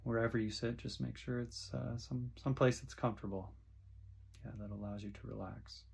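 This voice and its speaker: A man guiding a meditation in short, soothing phrases with long pauses between them. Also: mid-range voice, flat delivery, vocal fry, a little mellow, slightly rough. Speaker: meditation guide. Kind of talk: guided meditation instruction.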